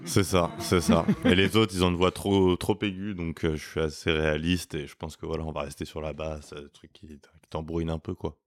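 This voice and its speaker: Very deep-voiced man with dry jokes. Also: level tone, brisk, hardly any pauses. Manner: dry, joking. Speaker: guest, co-founder of a street art venue. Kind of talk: casual chat.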